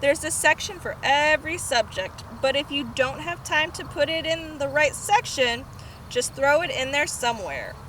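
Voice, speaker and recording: A fussy, organized boy from a children's storybook, acted out by a woman reader. He is high and very lively, bossy and emphatic in how he instructs. The recording is noisy, made outdoors on a camera. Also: normal speed. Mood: instructive. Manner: instructing, emphatic, screeching when upset. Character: bossy, organized boy, fussy cousin who panics easily.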